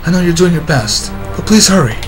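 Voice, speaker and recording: Man doing a high-pitched pleading princess. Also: lively intonation, a little fast, lightly acted. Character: captive young princess, gentle and pleading. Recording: game music behind the voice.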